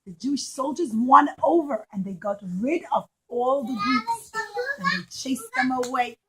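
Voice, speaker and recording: A woman telling a story to young children in an animated, emphatic way, repeating phrases for them. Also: high voice, a little slow, heavy background noise. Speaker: children's storyteller and puppet show presenter.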